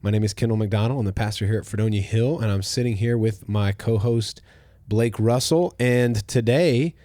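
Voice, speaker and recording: Low-voiced pastor hosting a casual chat. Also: male voice, normal speed, no room sound, clean studio sound. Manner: relaxed, thoughtful, trails off softly at the ends of phrases. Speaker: pastor and podcast host. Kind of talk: casual conversational podcast.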